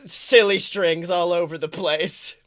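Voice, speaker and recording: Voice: medium pitch, fairly even intonation, takes it slowly, a bit bright. A podcast co-host chatting casually and comedically about movies, rambling, jokey and loose with swearing.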